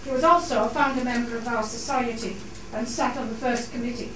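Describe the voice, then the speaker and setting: A woman's voice: fairly high pitch, steady tone, fairly quick. A woman host giving a formal welcome and introduction to an audience in a theatre. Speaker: society host introducing a play. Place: theatre, on stage. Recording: echoey hall, distant mic, noisy.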